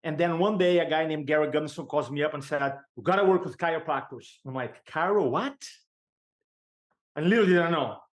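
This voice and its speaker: A middle-aged man with a Brazilian Portuguese accent speaks as a guest on a podcast, in a fairly high voice. He tells stories with energy, laughs often and acts out the people he quotes. His first language is Portuguese, and it shows in his English. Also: fairly quick. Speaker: sales and speaking coach, guest. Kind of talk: storytelling interview chat.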